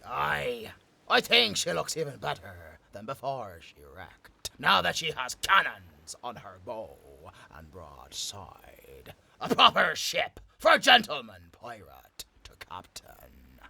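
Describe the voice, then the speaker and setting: A man playing a gruff, theatrical gentleman pirate captain with a gravelly, raspy voice in an audio roleplay. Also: mid-range voice, no echo, heavily acted. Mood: proud, musing. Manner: theatrical pirate talk, slow and expressive.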